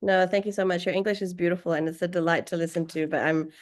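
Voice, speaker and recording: Woman host, lowish voice, warm and encouraging, Arabic phrases in her speech, video call interview. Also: little pitch movement, fast talker. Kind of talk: casual interview talk.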